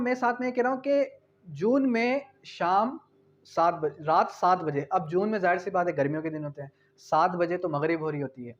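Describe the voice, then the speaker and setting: A man gives an explanatory lecture. He is didactic, repeating key phrases for emphasis and dropping in English terms. The recording is dull and lo-fi. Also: high-pitched. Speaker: law teacher.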